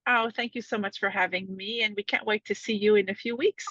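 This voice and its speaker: A woman's voice: mid-range voice, fairly even intonation, brisk, a bit bright, dry recording, dull audio. A woman whose first language is French, giving a casual interview in an earnest, appreciative way. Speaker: interview guest, touring show staff member.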